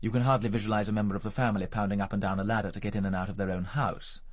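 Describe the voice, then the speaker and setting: A man plays an urbane English murder suspect in a radio drama, sardonic and evasive. His low voice is slightly husky, and he rattles along with dry, flippant articulacy. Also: little pitch movement, very fast, lightly acted. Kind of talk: BBC radio drama acting.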